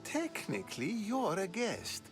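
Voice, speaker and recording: A man's voice: sits high, wildly swooping pitch, a little slow, exaggerated voice. An actor playing an aloof, weary statesman in a video game, with a dry, evasive, theatrical manner. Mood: wry. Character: aloof, weary male statesman hosting a party. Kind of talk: video game dialogue acting. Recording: music behind the voice.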